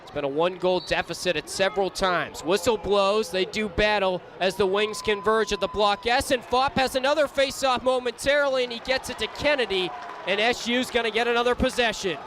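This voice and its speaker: High-pitched man calling lacrosse over loud crowd. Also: a little fast. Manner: excitable, rises to shouts on big plays. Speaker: play-by-play announcer. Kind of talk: live lacrosse radio commentary. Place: sports stadium during a live game. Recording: loud crowd noise behind commentary. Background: crowd and arena noise.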